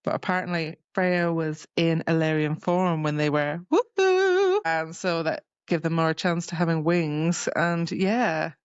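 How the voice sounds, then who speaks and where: A woman with a fairly low voice talks as a podcast co-host in loose, informal book chat, dropping wry asides. The recording is dry and a little muffled, like a modest microphone. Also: unhurried, few pauses, clear, resonant tone, dry recording. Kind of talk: casual book discussion chat. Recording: dull, slightly lo-fi microphone sound.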